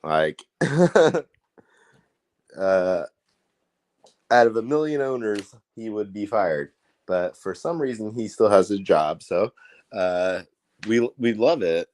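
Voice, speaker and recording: A man with an Indiana accent hosting a casual livestream, talking in a rambling, profane, excitable way. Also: fairly low pitch, takes it slowly, pauses often, no echo. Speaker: livestream host.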